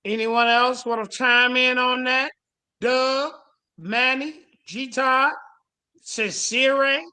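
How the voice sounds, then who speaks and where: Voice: high voice, fairly even intonation, slow pace, sharp tone, clear, resonant tone. A panel host speaking casually and emphatically, with plenty of profanity and street slang. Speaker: host moderating a panel call.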